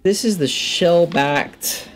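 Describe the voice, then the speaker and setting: A man in his thirties giving casual gameplay commentary, halting and muttering to himself as he names his discoveries. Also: on the high side. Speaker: gaming video host. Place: small indoor room at a computer.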